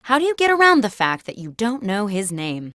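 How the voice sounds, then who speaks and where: Animated office interviewer game host. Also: female voice, medium pitch, a little fast, no echo, clean studio sound. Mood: composed, quizzical. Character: office job interviewer posing workplace questions. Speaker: game host reading prompts. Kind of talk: scripted video game host narration.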